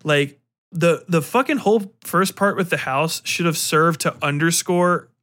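Man, somewhat higher pitch, casual rambling commentary, animated, slangy, profane, podcast host. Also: a little slow.

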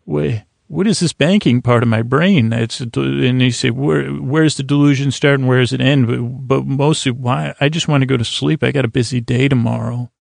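A man with a gravelly, creaky voice in a close, dry recording delivers a soothing bedtime monologue. He rambles gently, stammering and trailing off into half-finished thoughts, at a middling pitch. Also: no room sound. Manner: meandering and halting, stammers and breaks off mid-thought; lulling, mild-mannered. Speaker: host of a bedtime story sleep podcast.

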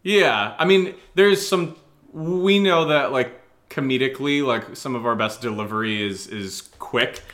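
A man's voice: medium pitch, unhurried, a bit bright. A man co-hosting a podcast, chatting casually as he answers listener questions in a rambling, joking, self-deprecating way. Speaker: audio drama podcast co-host.

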